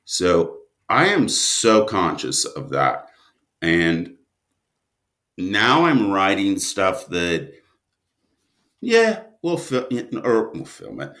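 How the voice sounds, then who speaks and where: A man's voice: low voice, wildly swooping pitch, drawn-out words, pauses often, a bit bright. A man speaking as a guest writer in a reflective interview, stopping and starting and leaning on certain words. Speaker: guest writer and producer of audio drama.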